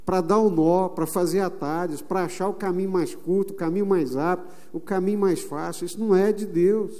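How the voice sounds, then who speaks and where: Brief: Brazilian male pastor, high, mellow preaching voice, long church reverb. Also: steady tone. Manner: emphatic preaching with slow, drawn-out phrases. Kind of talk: sermon. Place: church sanctuary.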